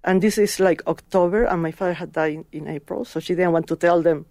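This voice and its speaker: Gravelly woman, earnest. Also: fairly low pitch, fast talker, mellow, dry recording. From Chile. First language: Spanish. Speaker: guest. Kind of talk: heartfelt personal testimony.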